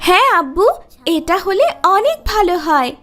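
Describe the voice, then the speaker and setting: A woman's voice: very high voice, very animated, normal speed, fairly bright tone, full character voice. A woman voicing a stubborn, pampered little girl in a cartoon. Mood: pleased. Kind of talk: cartoon dialogue acting.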